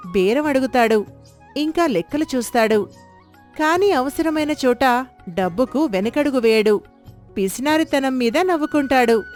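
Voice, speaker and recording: Woman narrating a storybook tale. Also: high voice.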